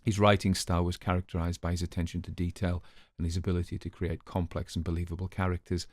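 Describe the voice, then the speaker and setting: Male host, lowish voice, informal book commentary, chatty, offhand asides, very dry sound. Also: level tone, quick pace, no echo. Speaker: host and story reader.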